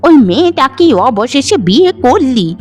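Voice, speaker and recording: A woman's voice: high voice, big pitch swings, normal speed, darkish tone. A woman voicing a domineering, scornful middle-aged mother in a melodramatic cartoon, bossy and scolding. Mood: shocked, outraged. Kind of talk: Bengali cartoon dubbing, melodrama. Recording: music behind the voice. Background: background music.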